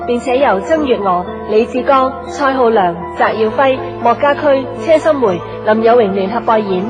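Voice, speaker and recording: A woman's voice: on the high side, moderate pace, music in the background. A woman reading scripted radio drama credits as the announcer.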